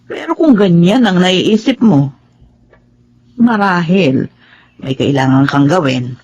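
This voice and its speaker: A woman playing a kindly, devout elderly Filipino grandmother in a radio drama, motherly and gently admonishing. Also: on the low side, lively intonation, slow pace, breathy edge, exaggerated voice. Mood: gentle admonishing. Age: older. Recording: muffled, lo-fi, faint ambient noise.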